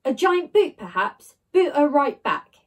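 A woman's voice: high-pitched, sing-song, measured pace, pitched above the performer's own voice. A woman reading a storybook, voicing a mischievous, helpful shapeshifting blob of slime in a playful, assured way.

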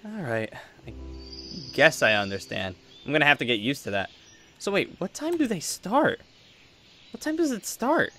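Excitable teenage boy, swooping pitch acted by a man. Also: moderate pace, faint music behind, full character voice. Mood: curious. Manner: eager, casual, questioning. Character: excitable teenage son, new academy student, curious. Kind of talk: improvised Minecraft anime roleplay.

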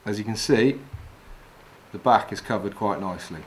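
A middle-aged man from England calmly talking through each step of tying a fishing fly while he works with his hands. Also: lowish voice, normal speed, very noisy. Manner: calm, practical, talks while working with his hands. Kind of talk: step-by-step demonstration. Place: wooden lodge room, indoors.

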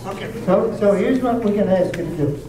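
A man's voice: high-pitched, animated, brisk. A man hosting a community readers theater, chatting with a live audience and often checking in with "okay?". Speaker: host introducing a readers theater show. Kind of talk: casual talk to a live audience. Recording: echoey room, distant mic, noisy.

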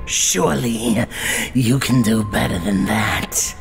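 Gravelly, gloating demon clown. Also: male voice, lowish voice, slow pace, music in the background. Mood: gloating, taunting. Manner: mocking, taunting. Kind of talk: comic dub voice acting.

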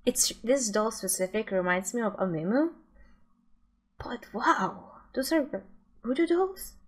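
Slow-paced young woman reacting. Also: a little high, sing-song, breathy edge. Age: young adult. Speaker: gaming reaction video creator. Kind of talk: casual reaction commentary.

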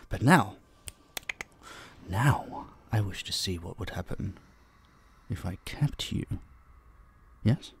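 A man voicing a possessive, centuries-old vampire in an intimate roleplay drama, slow and condescending, with a husky, breathy voice that drops into whispers. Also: fairly low pitch, full character voice. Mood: fascinated, possessive. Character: possessive centuries-old male vampire, dominant, menacing captor.

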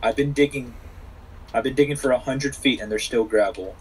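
A man's voice: mid-range voice, brisk, slightly rough, poor audio. A young man joking around in a casual chat with friends while playing a game. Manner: joking, goofy. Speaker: gamer.

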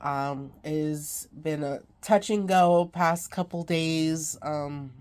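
Low, stuffy-nosed woman vlogging while sick; rambling, casual, lots of fillers. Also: fairly even intonation, slow pace, a little mellow. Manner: rambling, many ums, laughs between lines. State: congested, sick. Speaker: craft vlogger. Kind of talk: casual chat to camera.

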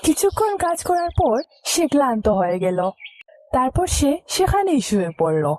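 High-pitched mellow woman storyteller. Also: big pitch swings, unhurried, a little hiss or hum. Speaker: storyteller narrator. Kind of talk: scripted children's moral story narration.

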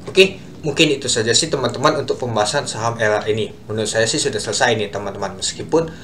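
A man's voice: medium pitch, quick pace, background noise. A young man casually talking viewers through stock charts on a screen share, addressing them as friends over and over, recorded at a computer in a small room. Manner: chatty, repeats "teman-teman" constantly, talks through charts. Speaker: stock market youtuber.

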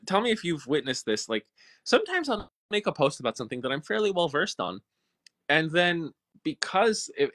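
Animated man host on a video call. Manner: lively, acts out his own reactions in a high voice. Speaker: interviewer host. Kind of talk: casual video call interview. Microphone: video call webcam.

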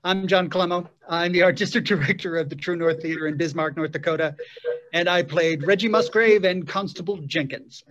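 A man's voice: on the high side, fairly quick, a bit bright, muffled recording. A man, a theatre artistic director, giving a casual self-introduction on a video call.

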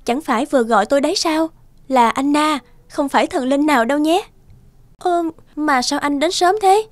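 A woman voicing a cheerful, articulate young teacher in an animated drama, speaking politely and explaining things. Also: high-pitched, normal speed, no room sound, close to an everyday voice. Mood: surprised, teasing.

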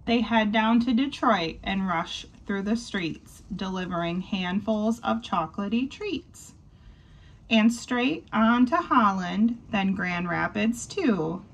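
A woman in her twenties reading a children's picture book aloud in measured phrases, pausing between them. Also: mid-range voice, animated. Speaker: volunteer storybook reader. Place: small wood-panelled bedroom indoors.

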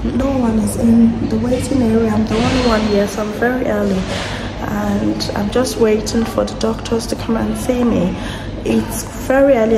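A woman in her thirties with a hoarse, sore-throated voice, chatting to camera in a casual vlog and explaining each step as she goes. She talks into a phone held at arm's length. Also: on the high side, very noisy. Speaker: vlogger. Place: hospital waiting area, indoors. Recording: echoey room, loud background ambience.

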